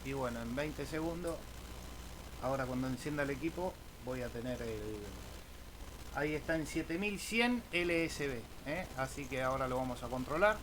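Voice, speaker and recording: A man's voice: mid-range voice, animated, normal speed. A man giving an informal, casual screen-share tutorial as an amateur radio YouTuber, often tagging phrases with a questioning "eh?". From Argentina. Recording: clear background noise.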